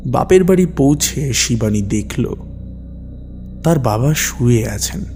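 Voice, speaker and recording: A man with a mid-pitched, mellow voice narrates as the host of a horror story podcast. He speaks slowly and dramatically, setting short phrases apart with deliberate pauses. Music plays behind him. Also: lively intonation. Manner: measured, deliberate, pausing between short phrases. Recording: long reverb with music behind. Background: background music.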